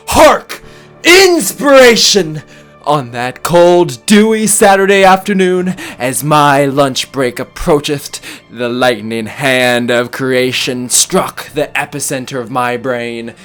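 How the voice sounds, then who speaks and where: A man's voice: medium pitch, a bit bright. A man playing a deranged, grandiose murderer in a theatrical gothic monologue, speaking in pompous, melodramatic, archaic phrases. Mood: rapt, exalted. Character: deranged, grandiose sandwich-shop murderer confessing his crime. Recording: faint music behind the voice.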